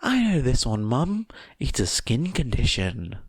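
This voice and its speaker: Story character: perky teenage villainess, raised pitch, casual and cheeky, read by a male narrator. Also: wildly swooping pitch, unhurried, slightly rough, no room sound, heavily acted. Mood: eager.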